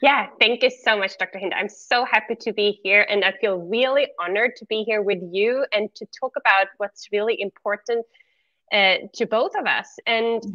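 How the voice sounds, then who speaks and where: A middle-aged woman with a Swedish accent talks as a guest in a conversational interview. Her voice is bright and mid-pitched, and her manner is candid and earnest. The sound is close and dry, with no room echo. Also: normal speed, highs cut off. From Sweden. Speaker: interview guest, former corporate executive.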